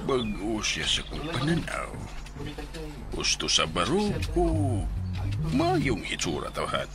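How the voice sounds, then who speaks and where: A man's voice: medium pitch, huge pitch swings, slow pace, a crowd in the background. A man acting in a radio drama as a gossipy, leering henchman who chuckles and mutters to himself, eager to please his boss. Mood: scheming. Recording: loud music behind the voice.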